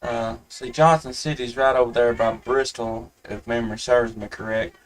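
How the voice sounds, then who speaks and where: A man with a Southern US accent talks in a folksy, halting manner, as in a casual ham radio exchange. His voice sits at an ordinary male pitch and is picked up a little way from the microphone. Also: fairly even intonation, recorded from a distance, low-quality recording. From Tennessee, United States. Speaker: amateur radio operator, live streamer.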